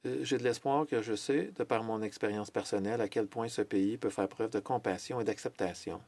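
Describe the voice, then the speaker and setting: A man giving a simultaneous interpretation of a formal parliamentary speech, measured, with hesitations and self-corrections. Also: on the low side, a little mellow, dry recording. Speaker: French interpreter of a Senate speech.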